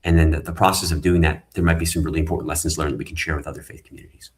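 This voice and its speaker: A man's voice: rumbling low, fairly even intonation, rapid-fire. A man, a tech founder, earnestly explaining his ideas as a guest in an online panel talk. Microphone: video call.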